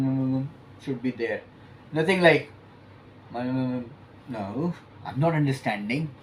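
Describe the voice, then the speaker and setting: Slow, deliberate male IELTS teacher. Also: a little high, a little mellow, slight background noise, poor audio. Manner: emphatic, stretches key words, pauses between phrases. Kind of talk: explanatory lesson to camera.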